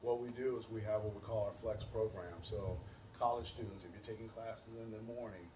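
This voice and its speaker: A man's voice: fairly low pitch, steady tone, fairly quick, dark tone. A man being interviewed as a company representative, explaining things earnestly and promotionally and repeating his key points. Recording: roomy echo, distant mic, faint noise.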